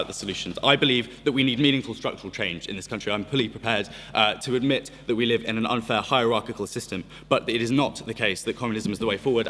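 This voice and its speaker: A man giving a measured, formal debate speech with frequent ums and uhs. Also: mid-range voice, a bit bright, a little hiss or hum. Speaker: debate speaker. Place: large debating chamber. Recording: reverberant debating chamber with audience.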